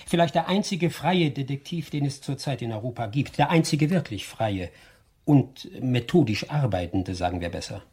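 Husky, haughty master detective. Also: male voice, medium pitch, lively intonation, normal speed, a little mellow. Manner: haughty, precise, declamatory. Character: brilliant, restless, arrogant Victorian male detective. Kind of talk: German radio crime drama acting.

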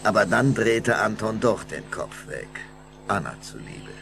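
Deep, gravelly male storyteller. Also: measured pace, over music. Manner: expressive storytelling. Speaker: narrator. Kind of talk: scripted children's audio drama narration.

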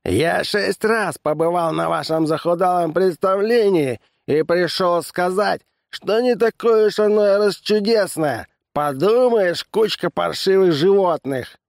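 A man voicing a cranky, boastful old drunk with a hoarse, tipsy tenor, as in an audiobook story. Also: sits high, measured pace, few pauses, no room sound, studio quality, pitched above the performer's own voice. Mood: scornful heckling. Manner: boastful, belligerent heckling.

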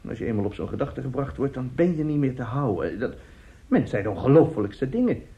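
A middle-aged man in a radio drama, a morally loose family friend who justifies himself. His voice is dark and soft-edged, emphatic yet halting. The recording is dull and muffled. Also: medium pitch, sing-song, gravelly. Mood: uneasy confession.

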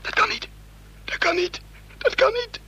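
A man's voice: very high-pitched, a little slow, sharp tone, heavily acted. An actor playing a cornered, desperate man in a radio play, frantic and repeating himself. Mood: disbelieving. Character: cornered, desperate man, panicky, indignant and threatening. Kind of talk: dramatic radio play acting. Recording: faintly noisy, humming radio play recording.

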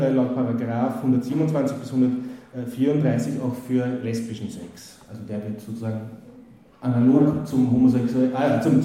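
A man's voice: medium pitch, warm tone. A man giving a spoken lecture, hesitant with many fillers and restarts. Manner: hesitant, full of "äh" and restarts, reads quotes aloud. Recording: very echoey hall.

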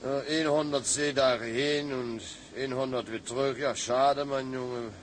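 Man as slow fatherly German-accented sea captain. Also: mid-range voice, fairly even intonation, close to an everyday voice. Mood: consoling, resigned. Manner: mixes German words into Dutch. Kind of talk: radio drama acting. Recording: radio drama with faint background noise.

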